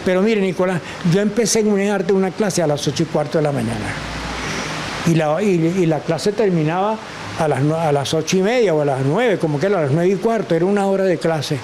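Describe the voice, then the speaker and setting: An older man with a Venezuelan accent, a guest telling animated stories and acting out the voices of people he quotes. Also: high voice, a little fast, background noise. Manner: expressive, acts out quoted voices, laughs often. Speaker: guest, actor and teacher. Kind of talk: animated storytelling.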